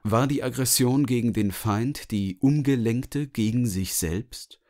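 A man's voice: mid-range voice, unhurried, darkish tone. A man giving scripted literary narration as the narrator of a story.

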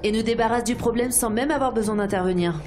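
A woman's voice: fairly high pitch, quick pace, background noise, close to an everyday voice. A woman playing an authoritative rebel commander in an audio drama, formal and firm. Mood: commanding.

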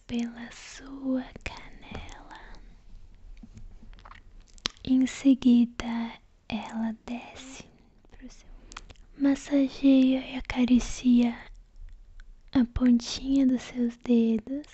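A Brazilian woman guiding an ASMR sleep meditation in a soft, breathy, soothing whisper, gentle and affectionate, with a slightly muffled sound. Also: fairly high pitch. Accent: Brazilian Portuguese.

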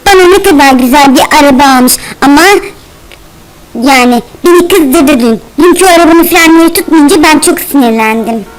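A woman's voice: high-pitched, normal speed, close to an everyday voice, low-quality recording. A woman voicing a fussy, doting spider mother in a children's cartoon, chattering and chiding with lots of exclamations. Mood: chatty, boastful.

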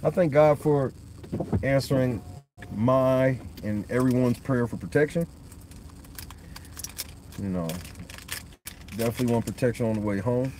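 A man in his thirties talks into a phone propped on the dashboard of his parked car at night. His voice sits at an ordinary pitch, and his talk rambles loose and profane. A faint hum of car and street noise stays underneath. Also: a little slow, long pauses. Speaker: livestream host. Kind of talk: casual livestream chat.

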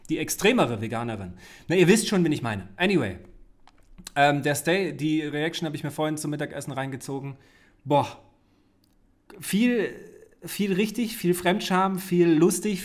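A man in his forties hosting a casual reaction video from his home studio, talking in a rambling, chatty way with many restarts and self-corrections. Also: on the high side. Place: home studio with desk and shelves. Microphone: studio mic on desk, headphones.

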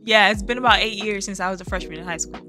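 An American woman in her twenties chatting casually as a reaction host, playful, animated and slangy, close on the microphone. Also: mid-range voice, fast, music in the background. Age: 20s. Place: small bedroom studio, indoors. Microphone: close boom-arm microphone.

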